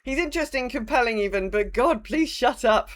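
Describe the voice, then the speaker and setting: A woman's voice: on the high side, lively intonation, fairly quick. A woman co-hosting a podcast, chatting casually and reading out listener messages. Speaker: podcast co-host. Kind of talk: casual chat.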